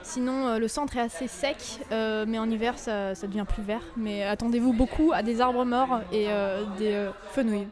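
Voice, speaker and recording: A teenage student speaking hesitantly, with many pauses and fillers. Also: female voice, a little high, steady tone, a little mellow, faint music behind. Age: teens.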